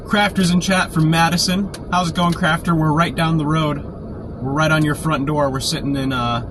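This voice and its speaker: A man with a sore throat from a cold, keeping his voice quiet and low while chatting casually on a live stream from inside a parked car. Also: fairly even intonation, moderate pace. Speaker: storm chaser live-streaming. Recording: noisy car interior. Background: car and outdoor traffic noise.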